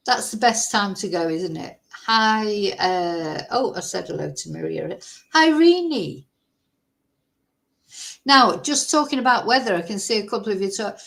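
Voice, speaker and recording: A woman from Manchester with a softened Mancunian accent, hosting a casual true-crime livestream, chatty and rambling, greeting viewers by name. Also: medium pitch, lively intonation, unhurried, creaky, long pauses. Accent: softened Mancunian English. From Manchester, England.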